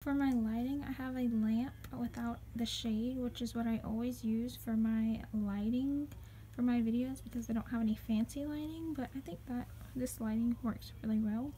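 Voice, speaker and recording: A woman's voice: fairly high pitch, steady tone, moderate pace, dark tone, slight background noise. A young woman in a small bedroom chatting casually to her phone camera, rambling and filling her talk with "like". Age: young adult. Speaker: vlogger. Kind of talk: casual vlog chat. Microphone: phone camera at arm's length and on a dresser.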